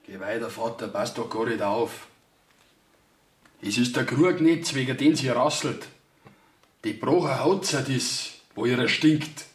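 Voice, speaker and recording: An actor playing a hurt, stubborn young peasant lad in a Bavarian dialect radio play, bitter in tone. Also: male voice, medium pitch, measured pace, roomy sound, natural voice.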